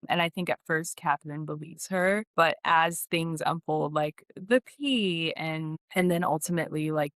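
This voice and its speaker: A woman's voice: sits low, a bit bright, no room sound, studio quality. A woman speaking as an actress guest in a casual podcast interview, often saying "like" and quoting her own reactions.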